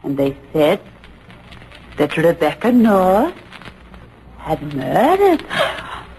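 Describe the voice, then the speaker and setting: An actress playing a fearful, haunted matron who gives halting, dramatic testimony in an old radio drama about a witch trial. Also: lowish voice, wildly swooping pitch, darkish tone, exaggerated voice, rough recording. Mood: hushed dread. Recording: old radio recording with faint noise.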